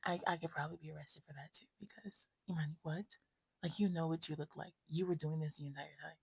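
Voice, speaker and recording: A sassy woman of twenty-five, chatting casually to camera on a new mic and slipping between breathy whispers and loud asides. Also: low-pitched, fairly even intonation, fast talker, dry recording. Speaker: vlogger. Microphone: new desk mic.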